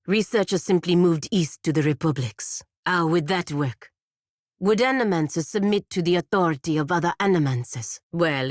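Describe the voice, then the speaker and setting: A proud and principled female warrior from a video game, low in pitch and recorded very dry. She phrases things formally and deliberately, with stern conviction and a dry, sardonic edge. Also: no echo. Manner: formal, measured phrasing with foreign exclamations. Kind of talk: video game character dialogue.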